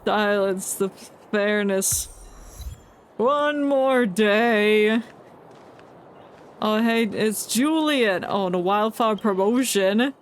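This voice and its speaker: Female game streamer, unhurried delivery, playful casual commentary and read-alouds, faint noise behind. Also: mid-range voice.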